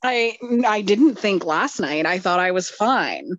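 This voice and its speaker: A woman's voice: mid-range voice, animated, a little slow, a bit bright, no echo, highs cut off. Drowsy, self-deprecating woman podcast co-host. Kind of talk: casual chat.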